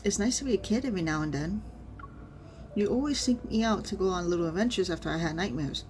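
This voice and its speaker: A woman streamer reading a game story aloud and reacting casually, in an expressive manner. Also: on the low side, fast, a little hiss or hum.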